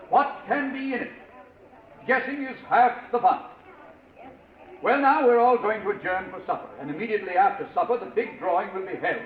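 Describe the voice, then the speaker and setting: An actor playing a jovial, showy auctioneer with theatrical, coaxing patter in old-time radio. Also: male voice, high voice. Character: showy, jovial charity auctioneer working a crowd. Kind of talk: 1940s radio acting. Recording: tinny, echoey, noisy old radio recording.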